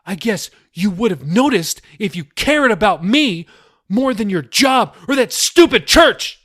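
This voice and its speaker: A man voicing a furious twenty-one-year-old daughter who shouts at her father in a high, strained voice. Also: very animated, measured pace, heavily acted. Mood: defiant.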